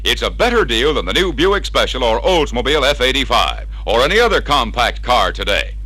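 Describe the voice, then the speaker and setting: A man's voice: mid-range voice, big pitch swings, fairly quick, bright, forceful tone, a little hiss or hum. An American man narrating a 1960s sales training record in an emphatic, persuasive, scripted style, on a vintage recording. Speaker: narrator of a car dealer sales training film.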